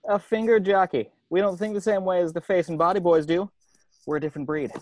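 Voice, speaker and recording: A man's voice: high-pitched, fairly even intonation, fast talker, darkish tone, lightly acted. A man playing a cocky, secretive former hand model with a dramatic, knowing, slangy delivery in an amateur comedy script read. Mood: grave, confiding. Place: indoors at home on a video call. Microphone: video call.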